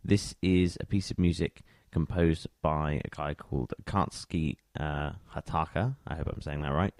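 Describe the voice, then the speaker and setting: A man's voice: a bass voice, moderate pace, no room sound, clean studio sound. A man chatting casually as he hosts a music radio show. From England. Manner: chatty, conversational.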